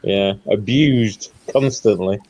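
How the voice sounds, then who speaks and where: A man's voice: lowish voice, slow pace, a little mellow, dull audio. A man chatting on a live stream in a dry, self-mocking way, with lots of yeahs. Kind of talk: casual chat on a live stream.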